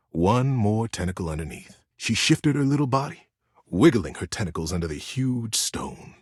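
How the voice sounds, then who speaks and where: Very animated male storyteller, crisp and dry. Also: on the low side, dry recording. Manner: expressive, suspenseful storytelling. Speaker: voice actor reading a children's story. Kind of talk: dramatic storybook narration.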